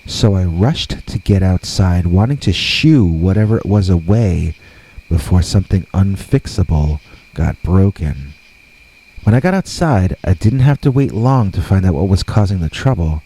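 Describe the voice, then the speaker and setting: A man narrating a scripted scary story deliberately, with dramatic pauses. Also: low voice, expressive, mellow, slightly rough, poor audio. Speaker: host and narrator of scary stories.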